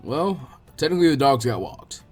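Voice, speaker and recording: A man's voice: medium pitch, sing-song, normal speed, slightly rough, soft background music. Man voicing a laid-back, dry ninja teacher. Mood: dry, resigned.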